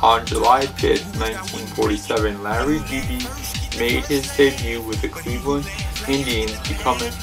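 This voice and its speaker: A man announces a scripted history segment in a mid-pitched voice. Loud hip hop music plays right behind his narration. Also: steady tone, normal speed, gravelly, hardly any pauses.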